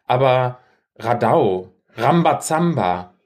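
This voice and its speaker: A man in an easygoing podcast conversation. His mid-pitched voice is conversational and playful, with a teasing edge as he banters with his co-host. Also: lively intonation, slow pace, darkish tone. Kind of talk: casual, bantering chat with a co-host.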